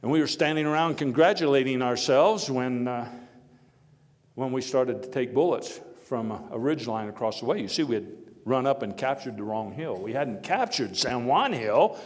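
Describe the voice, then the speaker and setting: A man playing a hearty, opinionated former American president, telling his stories to an audience in a halting, wry and emphatic way. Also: mid-range voice, expressive, natural voice. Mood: proud, storytelling. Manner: halting, storytelling, wry chuckles, emphatic bursts. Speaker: living-history performer giving a talk and taking audience questions. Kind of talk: first-person historical dramatization before an audience. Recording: echoey hall with long reverb.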